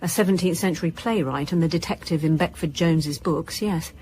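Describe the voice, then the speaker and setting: A woman's voice: sits low, brisk, a little mellow, lightly acted. Woman playing dry female detective, ambient noise. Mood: dry, sceptical. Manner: clipped, dry, questioning. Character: dry, sceptical female detective chief inspector. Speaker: actor playing police detective. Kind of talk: naturalistic radio drama dialogue.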